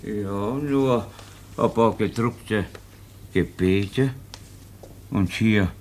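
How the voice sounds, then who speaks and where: Slow, lowish-voiced police subordinate. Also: male voice, darkish tone, slight background noise. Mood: hesitant, dutiful. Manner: hesitant, plodding. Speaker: actor in a radio play. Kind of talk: 1950s German radio drama acting.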